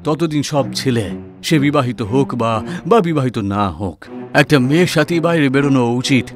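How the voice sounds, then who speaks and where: An actor playing a grave holy man, a village exorcist, in an animated horror story. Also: mid-range voice, normal speed, music in the background, close to an everyday voice.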